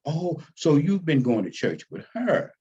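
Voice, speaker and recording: A man voicing a kindly, fatherly, gently chiding pastor in a storybook reading. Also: a little high, very animated, unhurried, breathy edge, highs cut off, exaggerated voice. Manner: fatherly, preacherly.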